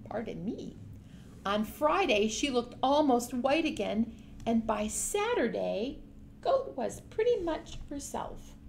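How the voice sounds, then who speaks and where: A woman's voice: a little high, sing-song, takes it slowly, gravelly, a little hiss or hum. A woman storyteller reading a picture book aloud expressively, speaking directly to young children.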